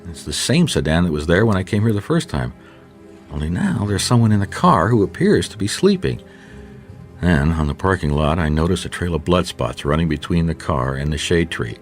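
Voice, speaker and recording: Radio mystery lead: a resourceful, wry detective, deep and animated, colloquial and hard-boiled. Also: male voice, a little fast, soft background music. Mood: intent narrating. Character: wry, resourceful male private detective narrating his case. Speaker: lead actor and narrator. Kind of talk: noir radio drama acting and first-person narration.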